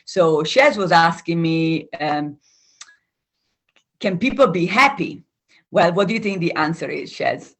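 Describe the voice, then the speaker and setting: A woman from Italy with an Italian accent, a coach calmly explaining ideas as a guest over a video call. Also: fairly low pitch, measured pace, long pauses. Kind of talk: explanatory interview talk.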